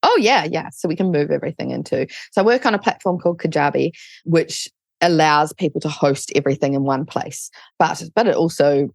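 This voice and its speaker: Low, creaky-voiced New Zealand woman. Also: a little fast, no echo, studio quality. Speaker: interview guest, online business coach. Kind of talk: casual interview chat.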